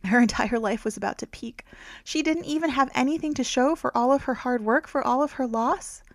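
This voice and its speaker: Woman audiobook reader for romance fiction. Creaky, mid-pitched, hushed at phrase ends, very dry sound. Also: fairly quick, no echo. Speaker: author reading own audiobook. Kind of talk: scripted narration, romance audiobook.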